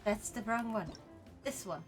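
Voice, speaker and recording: A woman's voice: medium pitch, measured pace, over music. A young woman with a Swedish accent, chatting playfully with her viewers while streaming a game. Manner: chatty and playful, talking to chat while playing. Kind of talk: casual gaming livestream chat.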